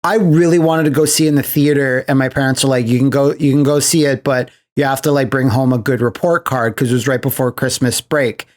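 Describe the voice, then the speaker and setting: A man's voice: mid-range voice, fairly even intonation, fairly quick, few pauses. A man hosting a podcast in casual film chat, telling stories and acting out quoted reactions. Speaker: podcast host. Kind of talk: casual chat about films.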